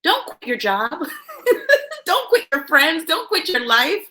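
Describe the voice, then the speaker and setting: A middle-aged woman hosting a self-help show, reading aloud and commenting in an emphatic motivational style with deliberate pauses. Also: extremely high pitch, wildly swooping pitch, pushed and bright. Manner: emphatic, dramatic pauses, chuckles between phrases.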